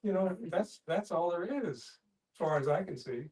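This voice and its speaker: Higher-voiced man, halting, off mic. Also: a little mellow, distant mic. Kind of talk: reflective group discussion.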